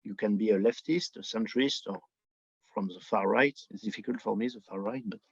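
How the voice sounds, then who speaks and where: A man from France with a French accent, giving political commentary conversationally in halting non-native English, searching for his words. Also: lowish voice, gravelly, slightly rough. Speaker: podcast guest, book editor. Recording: dull, roomy, lo-fi remote connection.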